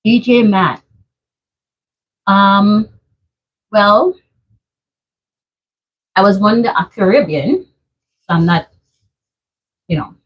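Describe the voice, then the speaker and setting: Trinidadian woman, husky tone, playful live-stream chatter, reads comments aloud. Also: medium pitch, very slow, pauses often, lo-fi sound. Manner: chatty and playful, laughing often. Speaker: vlogger hosting a live stream. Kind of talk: casual live-stream chat, reading out viewer comments. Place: at home.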